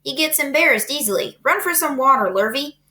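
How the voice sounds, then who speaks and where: A woman's voice: high voice, animated, pushed and bright, pitched above the performer's own voice. A woman voicing a flustered middle-aged male farmer who exclaims in a hurry. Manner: hurried, exclaiming.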